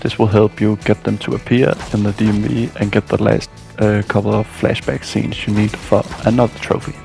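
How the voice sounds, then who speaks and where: A man with a low, gravelly voice delivers a casual video game walkthrough. He narrates from a loose script and drops in dry, weary asides. Music plays behind him. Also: level tone, fairly quick.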